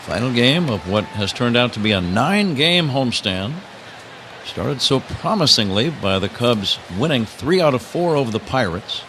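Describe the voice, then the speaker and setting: A man's voice: mid-range voice, animated, normal speed, fairly bright tone. An American man calling a baseball game live as a radio play-by-play announcer. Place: broadcast booth at a ballpark. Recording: noisy live broadcast with outdoor ballpark ambience. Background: clear outdoor stadium noise.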